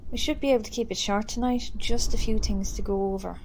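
A woman's voice: mid-range voice, fast, darkish tone, slight background noise, lightly acted. An Irish woman playing a mild committee chairwoman in an amateur radio drama. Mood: businesslike. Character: mild committee chairwoman who keeps meetings short.

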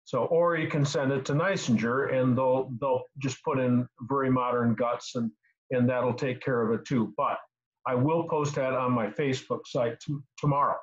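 An older man in his sixties hosts a casual technical question session over a video call. He explains in a halting way, often repeating a word before going on. His pitch is middling and the sound is slightly dull. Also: fairly even intonation. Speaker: host answering car repair questions. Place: small home room indoors. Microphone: computer mic on a video call.

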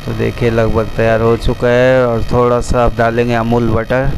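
Man, cooking vlog, monotone walk-through, humming kitchen noise, phone camera over the stove. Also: mid-range voice, normal speed, vocal fry. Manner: addresses viewers as friends, repeats steps plainly. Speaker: cooking vlogger. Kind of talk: step-by-step cooking instructions. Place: commercial kitchen with gas range.

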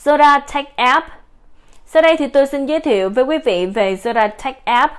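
A woman giving a steady, explanatory voice-over for a software product demo. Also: high-pitched, moderate pace.

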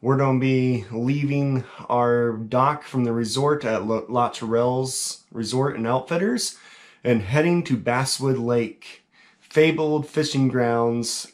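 A middle-aged man hosting a fishing vlog, talking steadily and friendly from a small room at home. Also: medium pitch. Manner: steady, friendly presenter talk. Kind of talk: casual vlog narration. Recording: music playing underneath.

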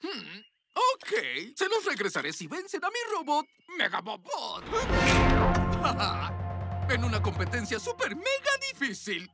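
A man's voice: very high voice, wildly swooping pitch, slow, music in the background, heavily acted. A man voicing a boastful, scheming wolf professor in a children's cartoon, theatrical and whiny, with a Latin American Spanish accent. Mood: sly, taunting. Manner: theatrical, gleeful, whiny when thwarted.

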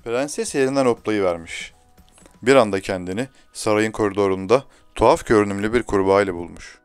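A man's voice: lowish voice, brisk, clean tone, no echo, faint music behind. A man reading a scripted fairy tale to children as a storyteller.

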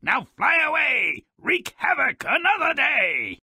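A man voicing a sardonic, mischievous, grotesque little fairy-tale creature who narrates a video game with raspy, theatrical, gleefully macabre sarcasm. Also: extremely high pitch, huge pitch swings, slow, pushed and bright, no room sound, raised voice, exaggerated voice. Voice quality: raspy, gravelly. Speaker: character narrator.

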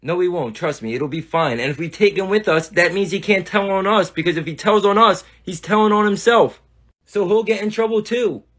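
A man's voice: sits high, a little fast. A man playing a defensive, exasperated teenage brother who justifies himself in a family comedy skit. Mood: persuasive. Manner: animated, self-justifying.

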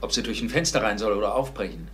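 An actor playing a brisk, procedural police officer in a radio crime drama. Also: male voice, lowish voice, animated, fairly bright tone. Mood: businesslike. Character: businesslike police officer relaying reports and orders.

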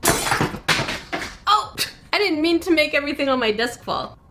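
A woman in her thirties whose first language is French, reviewing a craft kit in a chatty vlog from a home studio, with excited squeals and muttered asides. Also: high voice, a little slow, background noise. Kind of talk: casual unboxing vlog.

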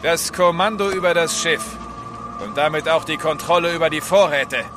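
An actor playing a smug, menacing mutineer in an audio drama, with a mocking, taunting manner. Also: male voice, a little high, normal speed, over music, natural voice. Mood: defiant. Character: smug, menacing male mutineer seizing a ship. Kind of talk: German radio play acting.

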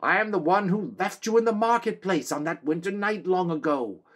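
A feeble, roupy old man with a high, thin voice that wheedles and whines. He wheezes and pleads, sly and self-pitying, a storybook villain grasping for favor. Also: moderate pace, fairly bright tone. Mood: wheedling, self-pitying.

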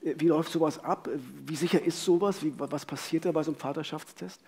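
A man's voice: fairly high pitch, steady tone, quick pace, darkish tone, slight background noise. A middle-aged man co-hosting a live true-crime podcast on stage, telling the case and putting questions. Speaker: podcast co-host. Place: on stage in a vaulted hall with audience.

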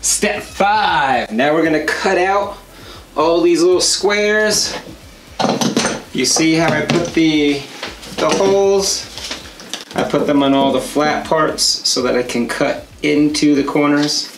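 Gravelly man talking through a build. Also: fairly high pitch, slow pace, fairly bright tone, a little hiss or hum. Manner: casual, plainspoken, explains as he works. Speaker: DIY show co-host. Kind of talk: step-by-step craft tutorial. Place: home workshop studio, indoors. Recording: roomy workshop echo.

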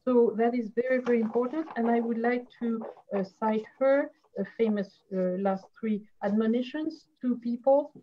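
This woman speaks with a French accent in a fairly high voice, halting over fillers and restarting her sentences. She is a history professor on an online panel. Her sound comes through a dull, lo-fi video call. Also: a little hiss or hum. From France.

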